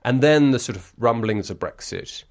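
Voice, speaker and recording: A man's voice: medium pitch, expressive, normal speed, gravelly, dry recording, clean studio sound. A man in his forties who grew up in Brussels, speaking as an interview guest. He talks in a reflective, articulate and wry way, full of restarts and fillers.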